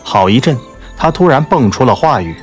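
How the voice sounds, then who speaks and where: A man's voice: medium pitch, lively intonation, moderate pace, faint music behind. A man narrating a novel as a scripted literary audiobook.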